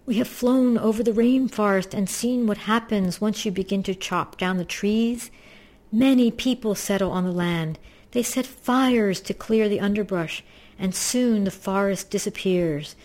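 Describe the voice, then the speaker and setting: A woman's voice: mid-range voice, unhurried, darkish tone, pitched above the performer's own voice, lightly acted. A woman voicing an urgent, squawky toucan who gives insistent warnings in a storybook. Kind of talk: storybook character voice.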